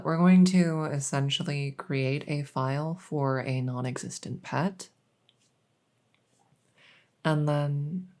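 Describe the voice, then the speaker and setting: A woman playing a polite, hesitant, matter-of-fact night vet in an ASMR audio roleplay, with a creaky voice. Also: sits low, darkish tone. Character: soft-spoken, polite night vet receiving a supernatural patient.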